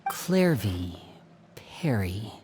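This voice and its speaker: A woman's voice: very deep voice, big pitch swings, extremely slow, a little mellow, in a large hall, a little hiss or hum, full character voice. An actress voicing a composed, probing woman who questions a child in a video game. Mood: measured, probing.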